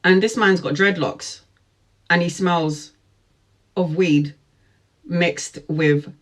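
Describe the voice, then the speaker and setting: A man's voice: high voice, fairly even intonation, slow pace, a bit bright. A man in his forties, a tarot reader telling a rambling personal story to camera in a chatty way. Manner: rambling, chatty, frequent fillers and asides.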